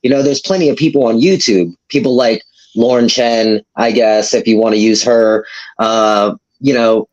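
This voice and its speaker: A man's voice: mid-range voice, fairly even intonation, moderate pace, darkish tone. A man in his thirties, a panel guest talking loosely and hedging, rambling in casual discussion. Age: 30s. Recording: dull call audio.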